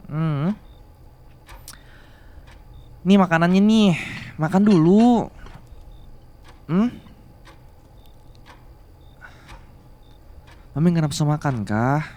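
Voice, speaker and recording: Man acting as slow-talking, attentive young boyfriend. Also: fairly high pitch, darkish tone. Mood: bashful, then tender coaxing. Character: attentive, bashful young man doting on his older boss girlfriend. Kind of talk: Indonesian ASMR romance roleplay.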